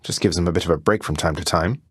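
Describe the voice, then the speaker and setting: Rebellious young underworld prince for a game: low register, dry studio sound, wry understatement, courteous ease. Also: male voice, fast talker, breathy edge, no echo. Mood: challenging. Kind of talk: video game character voice lines.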